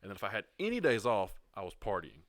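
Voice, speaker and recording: Young man, twenties, candid podcast guest, lowish voice, dry close sound. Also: huge pitch swings, fast, no echo. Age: 20s. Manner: candid, self-reflective storytelling. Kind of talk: casual podcast chat.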